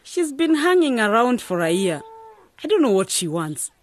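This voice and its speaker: An actress playing a worried, pushy village aunt in a radio drama, insistent and scolding. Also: female voice, fairly high pitch, sing-song, normal speed, no room sound. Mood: complaining.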